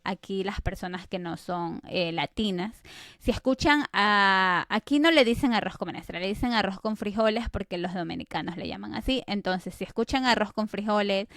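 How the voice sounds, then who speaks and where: A woman's voice: medium pitch, a bit bright, no echo, clean studio sound. A woman from Ecuador co-hosting a podcast, chatting casually with frequent fillers. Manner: conversational, many fillers like "este" and "eh". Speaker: podcast co-host.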